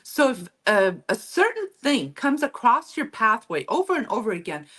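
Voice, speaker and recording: A woman whose first language is Low German, giving reflective, conversational answers as an interview guest. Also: sing-song, moderate pace, a bit bright. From Mexico, then Texas from childhood.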